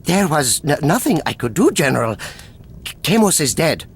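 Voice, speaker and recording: A man's voice: on the high side, sing-song, normal speed, a little hiss or hum. An actor playing a nervous, pleading henchman who stammers fearfully in a video game cutscene. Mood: frightened.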